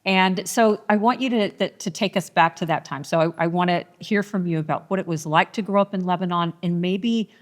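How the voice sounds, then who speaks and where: A woman's voice: lowish voice, a little fast, hardly any pauses. A woman hosting a podcast interview, asking her questions in a conversational way with long, looping lead-ins. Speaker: podcast host. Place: large room in a private car collection. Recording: long hall reverb.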